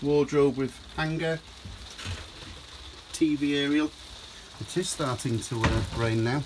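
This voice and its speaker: A man's voice: medium pitch, slow. Chatty guy filming a walkaround inside a camper, rain outside, noisy camera mic. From United Kingdom. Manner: chatty, addresses viewers as folks. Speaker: motorhome dealer and reviewer. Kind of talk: walkaround video review. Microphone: handheld camera mic.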